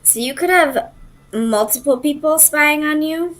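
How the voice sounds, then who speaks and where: A woman's voice: sits high, unhurried, a bit bright. A woman doing an informal tarot reading, speaking straight to the listener and thinking aloud in loose, tentative phrases. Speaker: tarot reader and host.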